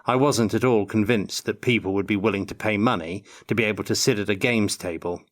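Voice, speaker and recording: An older man giving measured, articulate answers as an interview guest in a prepared Q&A. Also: lowish voice. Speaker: interview guest, tabletop game designer.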